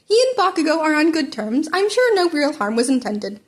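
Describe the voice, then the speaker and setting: A woman's voice: high voice, very animated, a little fast, pitched above the performer's own voice. A woman voicing a mischievous male creature who runs a school, airy and cheerfully dismissive. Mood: breezy, unbothered.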